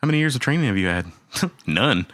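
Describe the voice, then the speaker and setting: A man co-hosting a casual music podcast, adding deadpan joking asides. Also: mid-range voice, quick pace, dry recording, clean studio sound. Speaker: podcast co-host. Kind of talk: casual chat.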